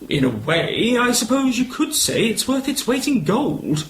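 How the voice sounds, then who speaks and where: A man acts an older retired colonel for an amateur radio play. He is courtly and proud, with a high, swooping voice and old-fashioned gallantry, over a faintly noisy recording. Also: big pitch swings, a little slow, fairly bright tone, heavily acted. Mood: proud, confiding.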